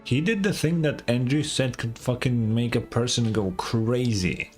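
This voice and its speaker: A man livestreaming a game, giving casual, slangy, profane reactions in halting bursts. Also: mid-range voice, darkish tone, soft background music. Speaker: game streamer.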